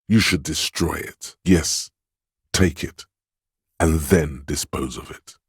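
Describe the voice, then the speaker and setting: A domineering male voice of authority inside someone's head in a video game. He is extremely low-pitched and slightly husky, recorded close and dry. His delivery is imperious and deliberate, goading the listener to take charge. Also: dry recording, heavily acted. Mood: commanding. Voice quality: very deep. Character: commanding inner voice of authority, stern, proud, domineering man. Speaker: voice actor. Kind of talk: scripted game voice lines.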